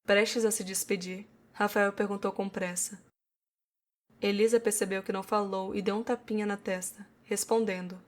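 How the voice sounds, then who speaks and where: A woman's voice: mid-range voice, level tone, normal speed. A woman with a Brazilian accent reading scripted narration for an audio novel.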